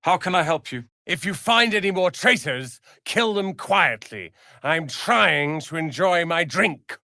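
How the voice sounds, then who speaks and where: A man's voice: a little high, expressive, a little slow, pushed and bright, dry recording, clean studio sound, exaggerated voice. A man playing a proud young wizard's apprentice in a fantasy video game, speaking in a pompous, articulate, theatrical manner. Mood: haughty, irritated.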